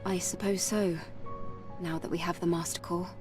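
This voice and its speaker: An actress playing a caring, troubled young female warrior in a video game, soft and earnest. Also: fairly low pitch, level tone, normal speed, far from the mic, over music, close to an everyday voice. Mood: worried, earnest.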